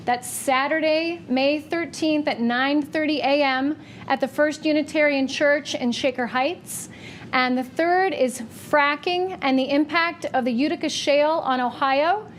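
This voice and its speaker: A woman welcoming the audience and reading announcements at a public library forum, with a loud voice and careful, deliberate delivery of dates and times. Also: high-pitched, slight background noise. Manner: says she has a loud voice. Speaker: library communications manager introducing a forum. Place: public library meeting room.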